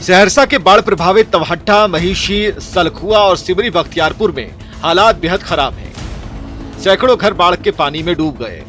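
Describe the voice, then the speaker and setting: A man's voice: sits high, moderate pace, fairly bright tone, faint music behind. A man delivering scripted news narration as a voice-over reporter, formal in manner.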